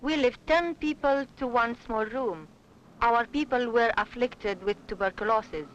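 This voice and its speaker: A woman's voice: on the high side, measured pace, a bit bright, lo-fi sound, slight background noise. A woman narrating scripted first-person refugee testimony on a 1970s documentary soundtrack.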